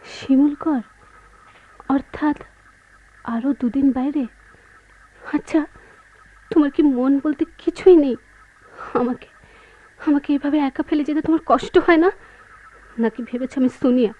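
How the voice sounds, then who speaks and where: Reproachful, high-voiced wife. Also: female voice, a little slow, long pauses. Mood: hurt, accusing. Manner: emotional, accusing, dramatic. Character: hurt, suspicious young wife, reproachful and proud. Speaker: radio drama actor. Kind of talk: Bengali radio play acting. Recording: dull, noisy old radio drama recording.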